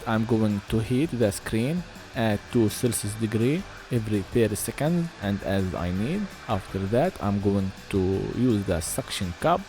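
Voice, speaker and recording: A man giving an informal voice-over for a phone repair, speaking halting, non-native English. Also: fairly low pitch, darkish tone. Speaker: phone repair video host.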